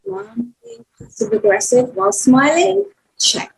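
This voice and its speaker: Woman playing an animated, exasperated young daughter. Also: high-pitched, unhurried, vocal fry, heavily acted, rough recording. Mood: sarcastic. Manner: dry sarcasm, dramatic. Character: exasperated, sarcastic young adult daughter, a writer. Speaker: actor in a script table read. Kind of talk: table read of a screenplay.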